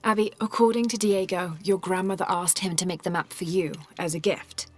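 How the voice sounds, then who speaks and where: A woman playing a young adventurer in a video game, soft-spoken, observant and earnest, politely explaining things. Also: on the low side, brisk, lightly acted. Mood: focused. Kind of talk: cinematic video game acting. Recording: music behind the voice.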